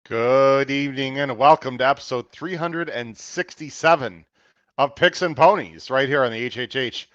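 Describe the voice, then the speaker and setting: A man hosting a horse racing podcast, a little hoarse, running through plugs and sponsor reads in an upbeat, folksy way. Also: mid-range voice, moderate pace, fairly bright tone, no room sound, highs cut off. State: slightly hoarse. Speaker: podcast host.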